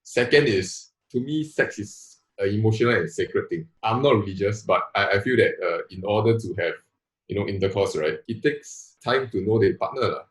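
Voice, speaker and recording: A Singaporean man in his twenties with a lowish voice, working through his argument earnestly, restarting and dropping in local particles. The sound has a roomy echo. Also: normal speed. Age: 20s. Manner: earnest, thinks aloud with restarts and "lah" particles. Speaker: panel guest. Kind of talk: casual panel discussion.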